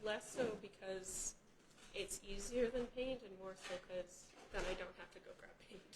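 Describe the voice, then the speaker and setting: A soft-spoken woman chatting casually while painting in a class. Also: medium pitch, moderate pace, background noise. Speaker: art class participant.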